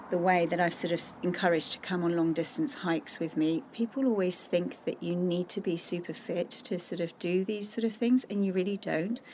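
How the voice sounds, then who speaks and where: Gravelly middle-aged woman, encouraging. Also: lowish voice, darkish tone, slight background noise. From Austria. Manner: earnest, encouraging, full of restarts. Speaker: guest. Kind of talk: casual podcast interview.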